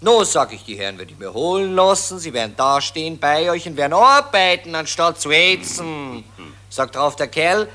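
An actor playing a callous, boastful Austrian army officer with a colloquial Viennese accent in a 1940s radio play. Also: male voice, a little high, lively intonation, moderate pace, bright, forceful tone. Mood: boastful, indignant. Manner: smug, colloquial, anecdotal. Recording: vintage 1940s radio recording.

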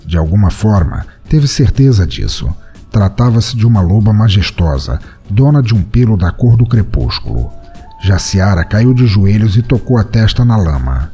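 A man with a Brazilian accent tells a scripted tale over faint music. His voice sits fairly low and is warm and dark, in a dramatic storyteller's style. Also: sing-song, moderate pace, breathy edge. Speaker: narrator of a fiction podcast.

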